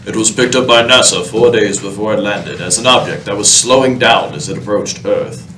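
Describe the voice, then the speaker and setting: A man with a fairly low voice narrates a scripted comedy for radio. He is a little far from the microphone, with room echo and clear background noise. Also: moderate pace, fairly bright tone. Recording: roomy, distant mic, background noise.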